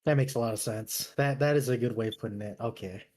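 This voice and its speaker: A man's voice: medium pitch, fast talker, a little mellow, slight background noise. Casual, agreeable man with a mid-range voice. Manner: agreeable, informal. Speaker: tabletop game player. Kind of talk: casual chat.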